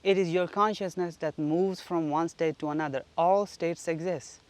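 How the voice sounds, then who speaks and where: A man from Pakistan giving an earnest, reflective spoken teaching at home, his sentences often trailing off softly. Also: a little high, fairly even intonation, normal speed. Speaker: spiritual teacher. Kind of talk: solo spoken teaching on manifestation. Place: flat.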